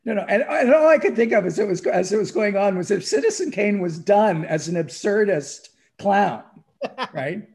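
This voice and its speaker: A man in a casual, enthusiastic conversation about film, halting and repeating words and phrases for emphasis. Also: sits high, animated, creaky, a little mellow. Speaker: podcast guest or co-host discussing a film.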